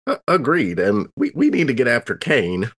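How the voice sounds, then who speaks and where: A man's voice: medium pitch, huge pitch swings, normal speed, a bit bright. A man playing an eager, folksy adventurer in a tabletop game, polite but gung-ho. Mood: eager, determined.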